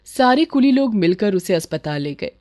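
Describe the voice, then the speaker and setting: A woman storyteller reads a scripted moral tale. Her voice sits at an ordinary middle pitch, in the manner of bedtime story narration. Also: very animated, moderate pace, a little mellow.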